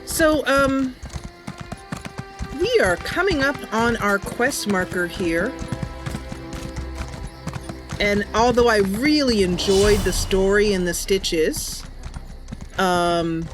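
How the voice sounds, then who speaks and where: A woman's voice: mid-range voice, slow pace, long pauses. A woman giving casual, chatty, enthusiastic livestream commentary as she plays a game. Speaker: game streamer and channel host. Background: game music and sounds.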